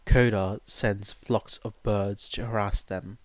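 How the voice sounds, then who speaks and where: A man reading scripted fiction aloud as a narrator, in a measured, dramatic storytelling manner. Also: on the low side, steady tone, no echo. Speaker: podfic narrator.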